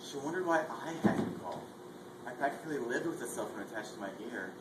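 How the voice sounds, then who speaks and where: A steady stage narrator in a student readers theatre, voice of middle pitch. The reading rings around an echoey hall, with plenty of noise behind it. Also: quick pace.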